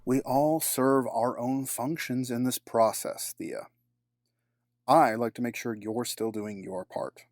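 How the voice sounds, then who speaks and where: A man's voice: mid-range voice, steady tone, dry recording. Man playing a dry, close-sounding, scheming villain. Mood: smug, formal. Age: middle-aged. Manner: formal, measured, sardonic, superior. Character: cold, scheming, menacing middle-aged businessman villain, grieving father. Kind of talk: audio drama acting.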